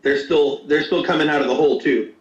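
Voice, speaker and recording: A man hosting a casual talk show, cracking crude jokes and talking in halting, filler-laden bits. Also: fairly high pitch. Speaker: podcast host. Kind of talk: casual joking chat. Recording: echoey, distant, dull lo-fi call audio.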